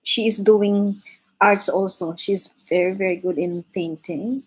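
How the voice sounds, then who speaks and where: A woman's voice: medium pitch, steady tone, unhurried, husky, poor audio. A young Filipino woman with a Filipino accent hosting a live drawing stream from her living room, chatting loosely in English and Tagalog, reading chat messages aloud and laughing often. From Philippines. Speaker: livestream host. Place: home living room, indoors.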